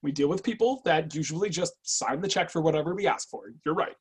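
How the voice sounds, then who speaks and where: An actor playing a guarded, clinical agent with a cool, probing, businesslike manner in an online screenplay reading. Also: male voice, fairly high pitch, lively intonation, brisk, breathy edge. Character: guarded, clinical intake agent, weary but obedient man. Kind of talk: online screenplay table read.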